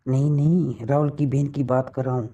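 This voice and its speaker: A man's voice: mid-range voice, steady tone, brisk, dark tone. A man in a casual, intimate phone conversation, teasing and probing with questions, recorded muffled and distant.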